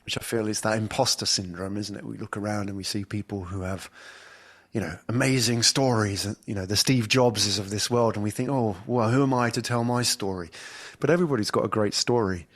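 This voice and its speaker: A man's voice: fairly low pitch, brisk. A man with an English accent, a podcast guest speaking remotely in a thoughtful, storytelling way. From England. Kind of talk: conversational interview. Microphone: remote call from afar.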